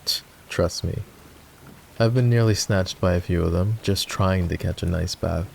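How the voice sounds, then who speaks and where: Man playing a deep, gravelly, sardonic knight. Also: moderate pace, a little mellow, a little hiss or hum. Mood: wary, instructing. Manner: sardonic, teasing, commanding. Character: gruff, sardonic fantasy knight, grudgingly escorting a princess. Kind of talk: roleplay ASMR voice acting.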